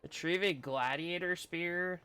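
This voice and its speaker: A man's voice: high voice, unhurried, pushed and bright, smooth, faint music behind. A man streaming a video game, giving casual commentary in short, trailing reactions.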